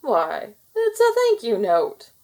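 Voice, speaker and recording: Lady as a jolly village baker guy, musing then exclaiming, big pitch swings. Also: wildly swooping pitch, slow pace, darkish tone, full character voice. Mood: pleased. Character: friendly male village baker, animated, easily amused.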